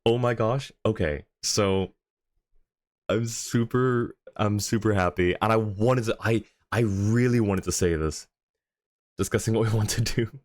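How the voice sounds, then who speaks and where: A man's voice: fairly low pitch, measured pace, darkish tone. A man hosting a casual livestream, talking in a friendly, chatty way to his viewers. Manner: friendly, chatty, thanks and greets viewers by turns. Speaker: live streamer, host.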